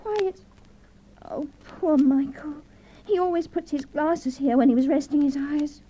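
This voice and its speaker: An actress playing an anxious, grieving wife in an old radio crime drama, breathy and emotional. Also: female voice, high voice, a little slow, dark tone, slight background noise. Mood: distraught. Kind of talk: 1950s radio drama acting. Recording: dull old radio recording.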